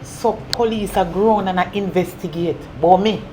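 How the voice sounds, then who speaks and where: A woman's voice: lowish voice, moderate pace, noisy background, natural voice. A woman with a Jamaican accent playing a jealous, hot-tempered young woman who barks curt orders in patois. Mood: threatening. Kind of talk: low-budget patois drama.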